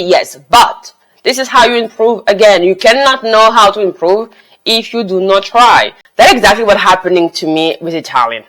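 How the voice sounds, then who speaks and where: A woman's voice: mid-range voice, fairly bright tone, low-quality recording. A woman with a French accent, a native French speaker giving casual, emphatic language-learning advice to the camera. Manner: switches between English, French and Italian, emphatic and encouraging. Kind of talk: casual talking-head advice.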